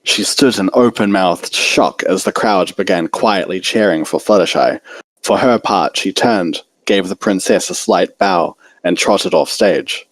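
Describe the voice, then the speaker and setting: A man's voice: sits low, a little slow, no echo, low-quality recording. A man reading fan fiction aloud as an amateur story narrator.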